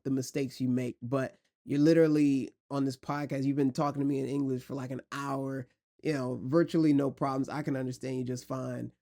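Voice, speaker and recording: A man's voice: mid-range voice, warm tone. A man hosting a podcast, asking long rambling questions in a casual, friendly way. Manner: rambling, friendly, many fillers. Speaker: podcast host. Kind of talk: casual interview chat.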